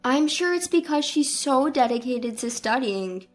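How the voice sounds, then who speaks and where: A woman voicing a devoted, gentle grandmother who speaks in a patient, reassuring way in a narrated family story. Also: high voice, lively intonation, measured pace, soft background music. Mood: proud.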